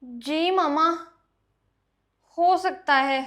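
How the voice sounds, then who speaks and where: A woman's voice: high voice, drawn-out words, fairly bright tone, full character voice. A female performer playing an obedient, trusting, helpful young girl in an acted moral-story skit. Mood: calm.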